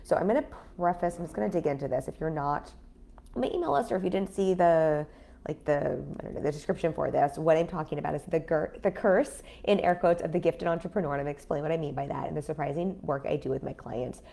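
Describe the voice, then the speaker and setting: A woman in her thirties talking casually on a livestream as a business coach, her voice raspy after an illness, chatty with many fillers. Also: low-pitched, quick pace, mellow. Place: home in a city apartment.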